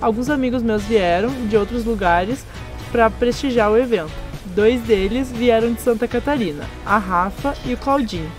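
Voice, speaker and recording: A woman's voice: fairly high pitch, normal speed, over music. Young southern Brazilian woman vlogging outdoors. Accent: southern Brazilian Portuguese. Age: 20s. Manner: friendly, relaxed storytelling with light laughs. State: slightly hoarse after a party. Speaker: travel vlogger. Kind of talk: casual travel narration. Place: outdoors, garden and hilltop trails.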